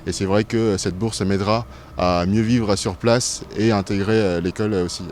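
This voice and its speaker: Young man student, low and hesitant. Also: steady tone, normal speed, darkish tone, slight background noise. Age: 20s. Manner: hesitant, many fillers. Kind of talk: interview answers.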